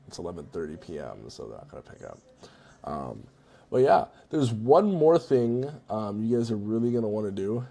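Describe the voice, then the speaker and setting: A man with a low, creaky voice and a mellow tone. He speaks informally and conversationally, like someone walking viewers through a tutorial. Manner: informal, conversational, checks in with "right?" and "you know". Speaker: tutorial presenter. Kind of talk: casual screen-recorded software walkthrough.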